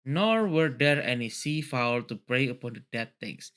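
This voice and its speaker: A man hosting a read-along, moving between reading a story aloud and excitable, casual commentary full of tangents. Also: mid-range voice, moderate pace, clean tone. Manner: excitable, often laughing and digressing.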